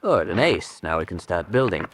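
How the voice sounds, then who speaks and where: A cold, controlling spymaster in a radio drama. His low voice is deliberate and sardonic, swinging widely in pitch under a haughty gentleman's manner. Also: very animated, noisy background. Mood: cool, disdainful. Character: cold, controlling, suave spymaster posing as irritable country gentleman.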